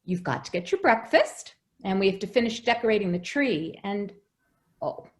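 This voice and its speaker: A woman playing a gentle, fussing nanny who coaxes and soothes with forced cheer in an old-time radio drama. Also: medium pitch, expressive, normal speed, lightly acted. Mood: soothing, fussing. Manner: coaxing, soothing, falsely cheerful. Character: gentle, fussing nanny minding a little girl.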